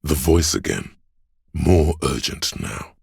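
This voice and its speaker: Very deep, gravelly brooding city spirit. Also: male voice, lively intonation, dry recording, clean studio sound, heavily acted. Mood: brooding, ominous. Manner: slow, hushed, ominous, solemn. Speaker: game voice actor. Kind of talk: poetic second-person game narration.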